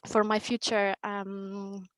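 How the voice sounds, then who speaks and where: A woman's voice: mid-range voice, flat delivery, slow, fairly bright tone, dry recording. A young woman with a Polish accent, a native Polish speaker, giving a hesitant talk on a conference panel as an onboarding manager, with many ums and restarts. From Poland.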